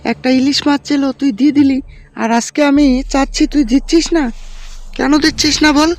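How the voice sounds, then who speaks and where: A woman's voice: high-pitched, steady tone, moderate pace, a little mellow. A woman playing a greedy, bullying village woman in a cartoon, with a demanding, insistent way of talking. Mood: indignant. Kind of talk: cartoon voice acting.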